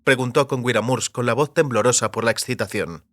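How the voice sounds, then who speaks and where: A man's voice: medium pitch, brisk, fairly bright tone, no echo. A man reading scripted narration for a fantasy audiobook.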